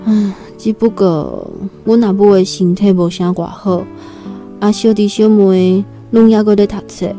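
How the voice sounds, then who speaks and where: A woman's voice: medium pitch, a little slow, mellow, soft background music, close to an everyday voice. An actress with a Taiwanese accent playing a timid, earnest young country girl new to the city in a radio drama. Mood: earnest, humble. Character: timid nineteen-year-old country girl new in Taipei. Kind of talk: Taiwanese radio drama, first-person storytelling and dialogue.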